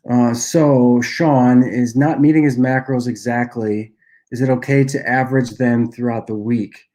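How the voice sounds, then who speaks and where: A young man with a nasal voice from a cold, casually answering viewer questions on a livestream and often clearing his throat. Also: medium pitch, takes it slowly. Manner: relaxed, loose delivery with frequent uhs and throat clearing. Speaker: app cofounder and dietitian hosting a Q&A livestream.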